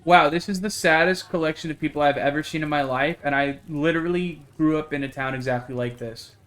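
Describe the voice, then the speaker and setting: A man's voice: a little high, brisk, fairly bright tone. A man streaming a game live, reading it aloud and reacting with exclamations and some swearing. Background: game music.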